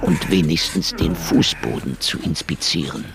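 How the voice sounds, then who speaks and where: An actor playing a world-weary, middle-aged detective in a noir radio drama, laconic, wry and brooding, with a gravelly voice. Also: male voice, extremely low pitch, wildly swooping pitch, moderate pace, a crowd in the background, exaggerated voice. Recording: music under the voice.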